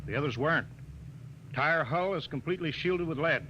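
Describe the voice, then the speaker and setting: An American man playing a brisk, weary, authoritative spaceship captain in a classic radio drama. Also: a little high, pushed and bright. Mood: grim, earnest. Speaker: actor. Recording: muffled, noisy vintage radio recording.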